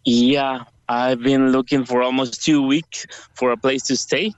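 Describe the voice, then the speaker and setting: Male phone-in caller, Salvadoran Spanish accent, smooth clear voice, earnest halting English, dull line. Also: medium pitch, little pitch movement, no echo. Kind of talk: conversational interview answers.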